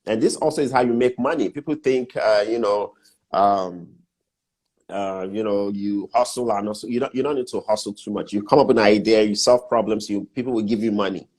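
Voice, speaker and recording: A middle-aged man with a Nigerian accent and a fairly low voice. He explains things step by step, numbering his points and hammering them home with repetition, in the easy tone of a casual interview. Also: fairly quick, a little mellow. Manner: emphatic, lists steps and repeats key points. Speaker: guest business mentor.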